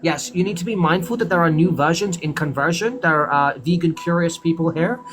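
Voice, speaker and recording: A man hosting a livestream with callers, scolding the chat and defending himself. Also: a little high. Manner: scolding, defensive, quick to complain. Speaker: livestream host.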